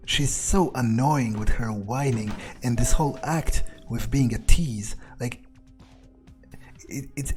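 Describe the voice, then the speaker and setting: A man with a mellow, dark voice plays a video game in character, thinking aloud. His improvised lines are blunt and halting, over faint game music. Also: medium pitch.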